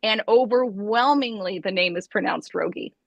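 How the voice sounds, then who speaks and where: A woman's voice: mid-range voice, moderate pace, creaky, dry recording, dull audio. A woman with an American accent, an author explaining at length in a casual video-call chat.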